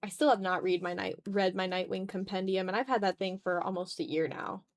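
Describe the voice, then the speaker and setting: A woman's voice: medium pitch, steady tone, a little fast. A woman hosting a casual art livestream, thinking aloud in a self-deprecating way as she draws. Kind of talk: casual chat while drawing on a livestream.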